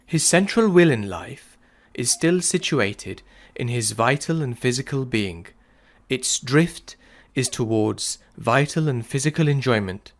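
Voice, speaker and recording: A man giving a solemn scripted reading of philosophical writing, measured and declamatory, leaning firmly on key words. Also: medium pitch, expressive. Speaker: reader.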